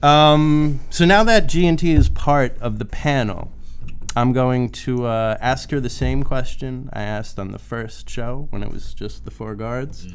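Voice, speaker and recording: A man's voice: medium pitch, unhurried, clean tone. A young man hosting a casual radio panel, joking and mocking himself, with frequent fillers. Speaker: host.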